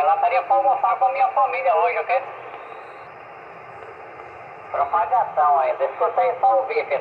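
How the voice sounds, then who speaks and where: A man's voice: fairly high pitch, creaky. A Brazilian man in a casual two-way radio chat, repeating himself and ending phrases with short tag questions. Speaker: amateur radio operator. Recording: muffled, noisy radio setup, room echo.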